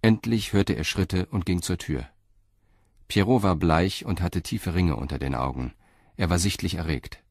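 A man's voice: deep voice, normal speed, vocal fry, no room sound, studio quality. A man reading scripted narration for an audio drama.

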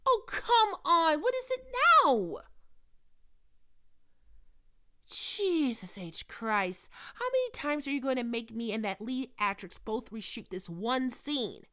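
A woman's voice: sits high, sing-song, a little slow, hardly any pauses, bright, forceful tone, full character voice. A woman playing a demanding, sardonic, flirty diva film star in an ASMR roleplay. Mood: exasperated. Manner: sardonic, swearing, teasing.

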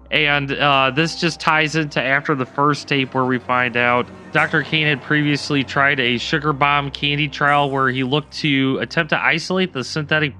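Bright-voiced man narrating game lore. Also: fairly high pitch. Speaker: gaming video host. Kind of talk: scripted game lore commentary. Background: faint background music.

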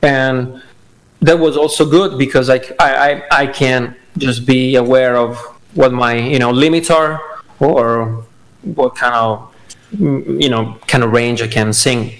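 A man's voice: mid-range voice, steady tone, unhurried, poor audio. A young man, a guest rock singer, talking hesitantly with many fillers over a headset on a video call. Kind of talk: casual interview chat. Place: home room.

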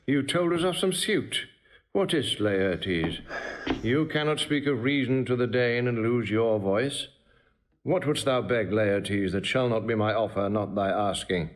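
An actor playing a regal, smooth-talking king in classical drama, with stately, measured oratory. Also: mid-range voice, close to an everyday voice. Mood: gracious. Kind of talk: classical stage drama acting.

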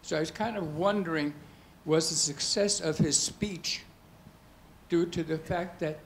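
A man asks a question from the audience at a public talk. His voice is somewhat high and his phrasing is careful and halting. Faint room noise surrounds him. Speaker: audience member asking a question. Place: lecture hall audience seats.

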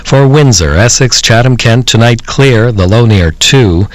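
A man's voice: medium pitch, lively intonation, unhurried, no room sound. A man reading a scripted radio weather forecast.

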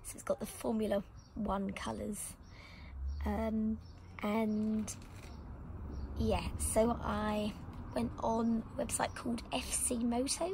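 A woman from the UK who has lost her voice, talking hoarsely and often in a whisper. She chats in a rambling, casual way with many ums. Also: fairly high pitch, little pitch movement, slow, long pauses, darkish tone, a little hiss or hum, poor audio. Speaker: motorbike vlogger. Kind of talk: casual product unboxing. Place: outdoor garden table.

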